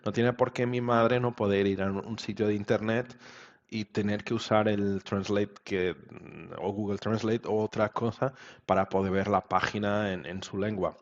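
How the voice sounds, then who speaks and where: Man, native Portuguese speaker, low voice, halting casual interview answers, frequent tag questions, muffled recording. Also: level tone. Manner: halting, often seeks agreement with tag questions. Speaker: interview guest, web developer.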